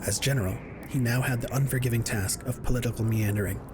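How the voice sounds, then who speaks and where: A man's voice: mid-range voice, fairly even intonation, fast, noisy background. A man reading scripted narration for a science fiction audio drama. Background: music.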